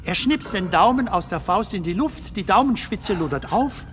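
An actor playing a whimsical film comedian stranded in darkness in a German radio drama, musing and storytelling in a halting way. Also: male voice, high-pitched, expressive, fairly bright tone. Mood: playful, musing. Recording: music behind the voice.

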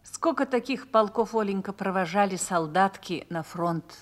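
A woman's voice: medium pitch, moderate pace, a little hiss or hum, lightly acted. A woman playing a mature officer's wife in a radio drama, reflective and confiding as she reminisces and explains. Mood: wistful reminiscing. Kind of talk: Russian radio drama acting.

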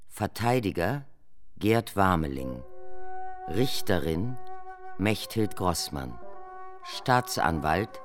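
A man formally announcing the credits of a radio drama, with music in the background. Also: on the low side. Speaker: announcer reading production credits.

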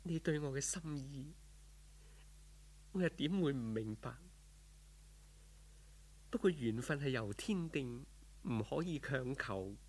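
A man voicing a gentle, solemn female spirit in a Cantonese folk tale, speaking formally and with calm authority. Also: medium pitch, slow pace, long pauses, breathy edge. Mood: calm, instructive. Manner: solemn, formal, calmly instructive. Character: serene, gentle female spirit turned heavenly disciple. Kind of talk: audiobook folk tale character.

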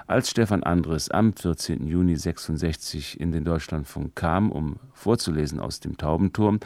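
A man presents a radio program in from a prepared script. His voice sits very low. The sound is dry and close, with hardly any room around it. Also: a little mellow, dry recording. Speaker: radio host.

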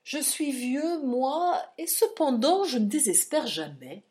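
A stern old doctor barks orders and lectures with forceful emphasis in a storybook reading, as a woman puts him on. The voice sits rather high, and the delivery stays firm, scornful and commanding throughout. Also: lively intonation, takes it slowly. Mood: lecturing.

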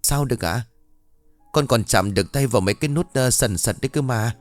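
A man's voice: mid-range voice, brisk, no room sound, soft background music, lightly acted. A man voicing a young woman, an earnest and desperate daughter who speaks politely and pleads respectfully.

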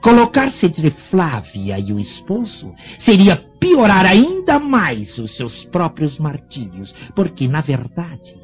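An actor playing a menacing, shrewd sorcerer of ancient Rome in a theatrical radio drama, solemn and insinuating. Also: male voice, a little high, full character voice. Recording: muffled lo-fi radio drama with music.

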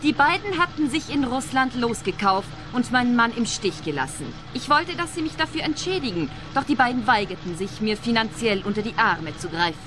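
A woman acting a bitter, cold widow, high-voiced. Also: expressive, a little fast, hardly any pauses, music in the background, close to an everyday voice. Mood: bitter, resigned. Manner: blunt, dry, defiant. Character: bitter, cold widowed gas station boss, unrepentant killer. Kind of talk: radio play acting.

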